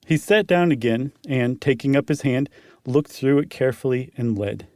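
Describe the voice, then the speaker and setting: A man's voice: mid-range voice, normal speed, a little mellow, no room sound, clean studio sound. A man, a podcast co-host, narrating a story dramatically with casual asides.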